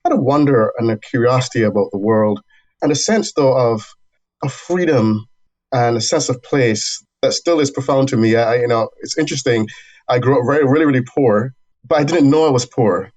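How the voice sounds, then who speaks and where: A man guesting on an interview, speaking at a middle pitch. He tells his story in a thoughtful, measured way and sometimes restarts a phrase. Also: a little mellow, rough recording. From Barbados. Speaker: university dean, guest.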